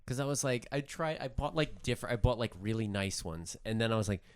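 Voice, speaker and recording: A young man co-hosting a casual podcast, rambling and self-deprecating, dropping into low mumbled asides. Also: fast, smooth, no room sound. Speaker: podcast co-host. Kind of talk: casual chat.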